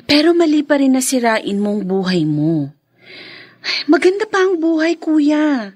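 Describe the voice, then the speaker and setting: A woman's voice: high-pitched, very animated, measured pace, darkish tone, no room sound, studio quality. A woman acting a caring, earnest younger sister in a radio drama. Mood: pleading, earnest. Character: caring, earnest younger sister gently scolding her brother.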